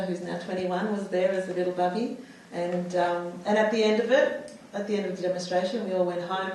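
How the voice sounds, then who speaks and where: A woman's voice: on the low side, a little mellow, slight background noise. A woman in her forties, born in the United States, telling her personal story as a panel speaker, with many fillers and restarts. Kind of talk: personal testimony at a public talk. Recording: echoey hall, mic far off.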